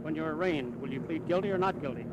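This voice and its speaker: A man's voice: fairly high pitch, quick pace. A man working as a news reporter, asking probing interview questions. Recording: noisy archival newsreel, street sound.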